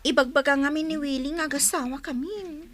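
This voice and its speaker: An actress playing a lazy, stubborn teenage daughter in an Ilocano radio drama, with whiny, offhand back-talk. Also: female voice, sits high, fairly even intonation, fairly quick, close to an everyday voice.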